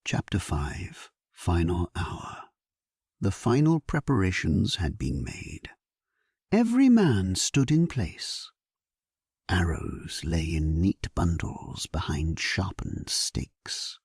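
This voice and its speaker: A man playing a solemn, resolute English warrior king, narrating his battle in a measured, stately way for an audio drama. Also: deep voice, huge pitch swings, darkish tone, husky, dry recording, studio quality, exaggerated voice. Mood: solemn, reflective. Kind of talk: dramatic scripted first-person narration, sleep story.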